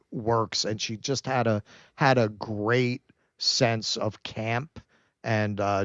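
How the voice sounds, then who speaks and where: A man's voice: lowish voice, dry recording, dull audio. Blunt, swearing man on a livestream. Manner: blunt, swears freely, addresses listener as "man". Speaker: co-host. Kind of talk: casual livestream chat.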